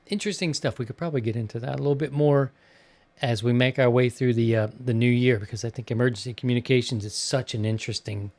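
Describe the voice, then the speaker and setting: A man's voice: medium pitch, darkish tone. A man hosting a podcast in casual chat, talking in an enthusiastic, rambling way. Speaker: podcast host.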